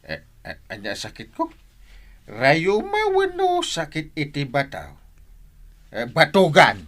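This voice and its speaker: A man's voice: a little high, huge pitch swings, slow pace, fairly bright tone, heavily acted. A man acting as a blustering, exasperated husband and father in a radio comedy drama, with an Ilocano accent and a grumbling, exclamatory delivery.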